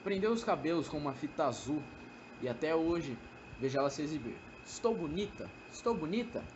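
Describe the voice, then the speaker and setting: A man's voice: a little high, very animated, fairly quick. Student actor as sly man in noisy, dull recording. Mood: wistful, feigned nostalgia. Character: calm, sly, sinister young man trapping his former lover. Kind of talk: amateur radio drama acting. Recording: dull lo-fi amateur recording, clear noise.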